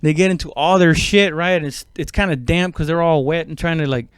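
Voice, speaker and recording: A man talking in a casual, rambling podcast monologue, halting and conversational, with frequent swearing. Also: fairly high pitch, steady tone, clean tone, no echo, clean studio sound. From immigrant, moved abroad at seven. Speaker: stand-up comedian podcast host.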